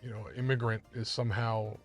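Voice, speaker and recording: A man, a rideshare driver hosting, telling family history in a relaxed, casual way with fillers. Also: medium pitch, brisk, dull audio, soft background music. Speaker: rideshare driver and podcast host. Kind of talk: casual conversation. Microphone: car interior mic.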